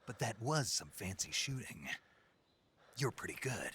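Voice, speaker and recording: An actor playing a terse, gruff, battle-hardened American special forces agent in a video game, with a gravelly voice and calm delivery. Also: male voice, fairly low pitch, unhurried, a little hiss or hum. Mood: cool, instructive. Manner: terse, matter-of-fact. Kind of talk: dramatic video game voice acting. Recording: cinematic music behind the voice. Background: game cutscene music.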